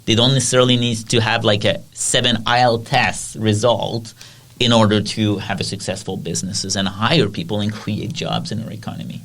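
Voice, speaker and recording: A man's voice: lowish voice, steady tone, a little fast, a bit bright. A man with a French accent hosting a podcast discussion, earnestly asking his guests questions. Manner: earnest, asks guests by name. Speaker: podcast host. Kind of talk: casual discussion.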